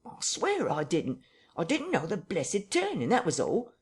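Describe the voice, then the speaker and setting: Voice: mid-range voice, very animated, normal speed, darkish tone, husky, voice pushed up. A reader voicing a frightened, self-pitying tramp, plaintive and close to tears. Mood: whining. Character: whining frightened tramp, middle-aged man.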